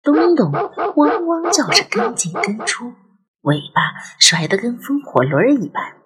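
A woman's voice: on the high side, big pitch swings, unhurried, a crowd in the background. A woman narrating a ghost story as a scripted, dramatic audio drama.

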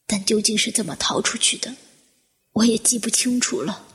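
Woman acting a high-voiced fire survivor, heavily echoing. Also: fairly bright tone, lightly acted. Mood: subdued, guarded. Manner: dramatic, deliberate delivery. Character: injured woman fire survivor, anguished then coolly guarded, narrating her ordeal. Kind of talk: Chinese audio drama acting with introspective narration. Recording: echoey hall-like reverb, music underneath.

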